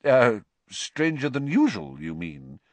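A man's voice: medium pitch, wildly swooping pitch, slow, darkish tone, dry recording, pitched above the performer's own voice. A man voicing an earnest, troubled teenage schoolboy in an audiobook, speaking with agitated insistence. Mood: anxious, urgent. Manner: agitated, insistent, hesitant in places. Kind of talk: audiobook character voice.

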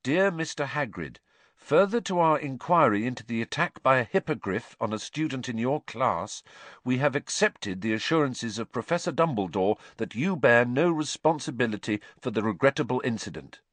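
A man voicing a steady, caring teenage boy. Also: medium pitch, normal speed, no echo, voice pushed up. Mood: reading. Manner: steady, encouraging.

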